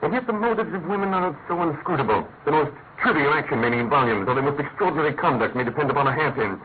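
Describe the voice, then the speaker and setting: An actor playing an incisive, commanding British detective in a classic radio mystery, speaking in an emphatic, articulate way. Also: male voice, on the high side, lively intonation, fast talker, natural voice. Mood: probing, wry. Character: incisive male detective, brisk, commanding, theatrically analytical. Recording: tinny, noisy old radio recording.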